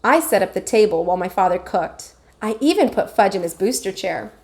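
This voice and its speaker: A woman teacher reading a children's chapter book aloud with expressive, animated storytelling. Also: mid-range voice, brisk.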